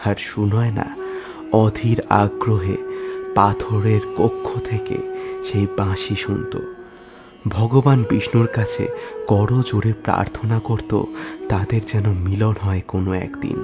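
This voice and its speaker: Slow, low-voiced man telling a story. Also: steady tone. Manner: measured, solemn delivery with deliberate pauses between phrases. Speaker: audio story narrator. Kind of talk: scripted dramatic literary storytelling. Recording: music under the voice. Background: musical instrument music.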